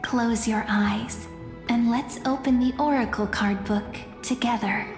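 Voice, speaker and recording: Game casting: mystical young female fortune-teller, gentle, fairly high, slow, echoing. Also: fairly even intonation. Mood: serene, mystical. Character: gentle young female oracle card reader, serene, mystical. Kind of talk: scripted game voice acting. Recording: echoing hall reverb, faint music.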